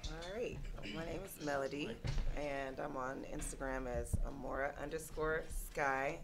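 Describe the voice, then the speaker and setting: A woman's voice: deep voice, steady tone, unhurried, over music. A woman originally from Los Angeles, speaking casually as a guest introducing herself on a show. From Los Angeles, USA. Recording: clear background noise.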